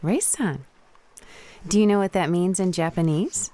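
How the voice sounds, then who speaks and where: A woman's voice: on the low side, unhurried, mellow, lightly acted. A woman playing a confident, teasing young Japanese woman diver in a radio play. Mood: playful, friendly.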